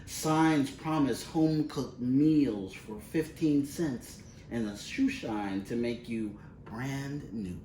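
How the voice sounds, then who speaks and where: A man reading a children's storybook aloud expressively, in measured, deliberate phrases with pauses. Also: mid-range voice, dark tone. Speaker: picture book reader. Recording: roomy, distant mic with faint noise.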